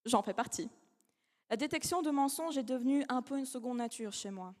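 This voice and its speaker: A young woman, a law student whose first language is not French, giving a formal competition speech with measured, dramatic pauses. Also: high-pitched, darkish tone. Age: young adult. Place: large academic hall. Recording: long hall reverb.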